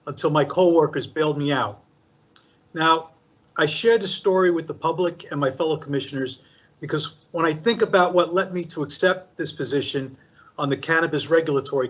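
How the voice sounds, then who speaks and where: Older man giving formal remarks, lo-fi. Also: fairly high pitch. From Puerto Rico. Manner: measured, deliberate delivery with listed points. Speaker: commissioner. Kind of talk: formal prepared statement at a public meeting. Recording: dull lo-fi meeting recording.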